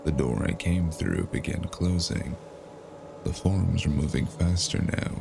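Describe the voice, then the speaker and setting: An actor playing a grim, weary cyberpunk man who narrates his story in clipped, brooding noir phrases with a gravelly voice. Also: sits low, measured pace, noisy background, heavily acted. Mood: brooding dread. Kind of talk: scripted first-person noir narration. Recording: loud music under the voice. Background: music.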